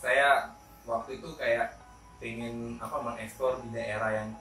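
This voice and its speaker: Male teacher as podcast guest, conversational and a bit halting, echoey room, slightly off-mic. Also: medium pitch, fairly even intonation, sharp tone. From Palembang, South Sumatra, Indonesia. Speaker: interview guest, schoolteacher. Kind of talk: casual conversational storytelling. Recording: roomy echo, distant mic, faint music.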